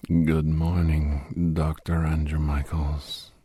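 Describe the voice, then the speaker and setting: Sinister captor doctor for ASMR drama: extremely low, slow, breathy half-whisper, menacingly courteous. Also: male voice, little pitch movement, dark tone, dry recording, heavily acted. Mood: mock-polite menace. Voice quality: breathy, husky near-whisper. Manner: slow, drawn-out, mock-polite menace. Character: menacing villainous doctor, obsessed captor, coldly gloating.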